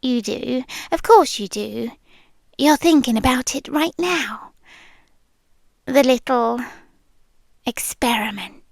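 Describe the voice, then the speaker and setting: A possessive, lonely British girlfriend, played by a woman, murmurs like a voice inside your head. Her high voice moves slowly and teasingly, often slipping toward a whisper. It is intimate ASMR roleplay, close and completely dry on the microphone. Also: lively intonation, vocal fry, no echo, exaggerated voice. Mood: playful, coaxing. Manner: soft, teasing, drifting between murmur and whisper. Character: possessive, lonely, playful yandere girlfriend living as a voice in the listener's head.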